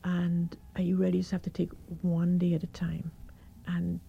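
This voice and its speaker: A middle-aged woman doctor with a croaky, hoarse voice, calmly explaining her experience as a radio guest. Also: lowish voice, moderate pace, highs cut off. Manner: calm, reassuring, explains step by step. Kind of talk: radio interview.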